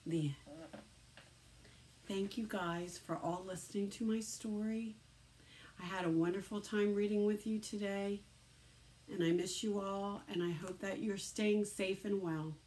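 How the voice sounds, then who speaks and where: Female storybook reader, medium pitch, slow delivery, expressive and dramatic with hushed, drawn-out phrasing. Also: low-quality recording. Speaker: teacher reading a story aloud. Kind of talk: expressive children's storybook narration.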